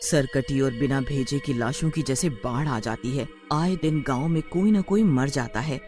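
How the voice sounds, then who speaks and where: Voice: medium pitch, normal speed, darkish tone. A narrator reading a scripted horror story in the manner of a storyteller. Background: background music.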